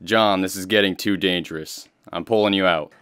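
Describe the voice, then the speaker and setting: An actor playing a concerned law-enforcement handler in a radio drama, serious and terse. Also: male voice, deep voice, fairly even intonation, moderate pace, a bit bright, clear, resonant tone, no echo, clean studio sound.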